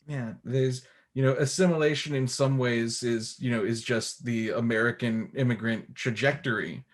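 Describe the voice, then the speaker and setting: A man's voice: medium pitch, fairly even intonation, moderate pace. A man with an ordinary mid-range voice interviews a guest. He talks casually, circling around his questions before landing on them. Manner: casual and warm, rambling questions.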